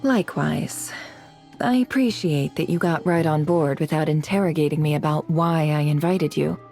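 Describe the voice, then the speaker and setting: A woman voicing a composed, shrewd, commanding female strategist in a game, speaking in a measured, formal, self-assured way. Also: deep voice, dark tone, faint music behind. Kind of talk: game character voice acting.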